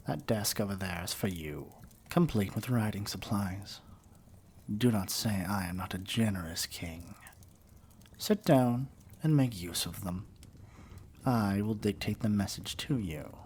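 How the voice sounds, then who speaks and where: Evil king for ASMR roleplay, male actor: lowish, unhurried, haughty and menacing, with noise behind the voice. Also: a little mellow. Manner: pompous, deliberate, dripping with disdain. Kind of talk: theatrical ASMR roleplay monologue.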